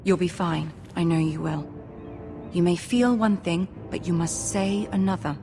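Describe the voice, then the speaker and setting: An actress playing a poised young noble lady in a game drama, gentle and persuasive, with a measured, diplomatic manner. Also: fairly low pitch, steady tone, noisy background. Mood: reassuring.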